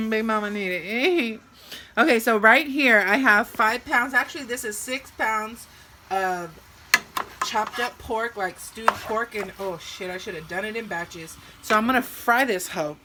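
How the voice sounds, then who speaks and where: A woman's voice: a little high, unhurried. Bawdy, joking woman cooking over a sizzling pan. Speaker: cooking vlogger. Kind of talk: casual cooking chat. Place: home kitchen with food frying. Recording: sizzling pan noise behind.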